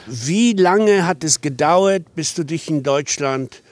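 A man's voice: a little high, lively intonation, slow pace. A man in his fifties, a host asking people short friendly questions outdoors with a handheld mic. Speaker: street interviewer, co-host. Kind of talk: street interview questions. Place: outdoors in a park.

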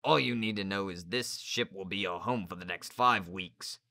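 A man voicing a mean, bullying older schoolteacher in a storybook, with a gruff, scolding manner. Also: low-pitched, moderate pace, sharp tone, no echo. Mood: stern, menacing. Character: mean, bullying older grammar teacher and orphanage escort.

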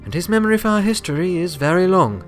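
A man as a high-voiced young female buffalo. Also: sing-song, quick pace, soft background music. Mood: polite. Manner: formal, polite. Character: gentle, formal young female buffalo, curious.

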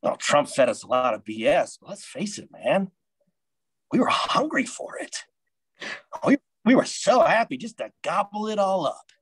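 An actor playing a worried male insurrectionist over a video call, husky voice. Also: on the high side, sing-song, moderate pace, no room sound. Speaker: actor in a comedy sketch. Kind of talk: video-call play reading. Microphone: video call webcam.

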